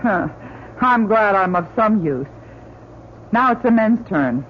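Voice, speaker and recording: A woman's voice: mid-range voice, lively intonation, slow. A woman playing a plucky, wary, resourceful astronaut in an old-time radio drama, plain-spoken and questioning. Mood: wry. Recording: dull old radio recording, faint noise.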